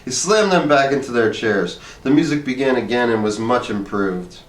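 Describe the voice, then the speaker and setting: A man's voice: medium pitch, expressive, normal speed. A man reading a short story aloud as scripted narration. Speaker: story reader. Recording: echoey room, distant microphone.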